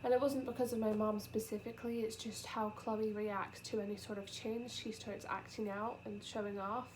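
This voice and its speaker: A young woman with a cold, sniffly and nasal, talking softly and haltingly in a personal vlog, close to tears. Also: medium pitch, flat delivery, background noise. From United Kingdom. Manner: halting and soft-spoken, with many pauses and fillers. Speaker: vlogger. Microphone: camera.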